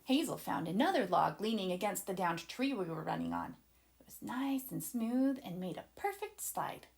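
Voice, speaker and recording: A woman voicing an excited, adventurous young boy who eagerly and dramatically narrates a children's storybook to his listeners. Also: medium pitch, moderate pace, creaky. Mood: excited storytelling. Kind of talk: children's storybook read-aloud.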